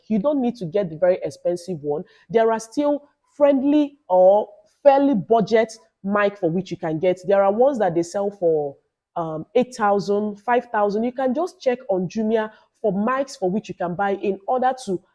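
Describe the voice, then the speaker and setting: Woman, Nigerian accent, loud, warm mellow tone, mid pitch, emphatic, instructive, informal vlogger advice. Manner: emphatic, instructive, repeats phrases and adds "okay?". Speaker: YouTuber giving advice to new creators. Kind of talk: informal advice vlog with Nigerian Pidgin asides.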